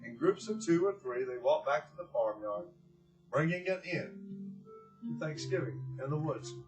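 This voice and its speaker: A man reading aloud and hosting for children, with expressive, drawn-out phrasing and a gentle, encouraging way of addressing them. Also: on the high side, soft background music, poor audio. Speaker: children's story reader and host.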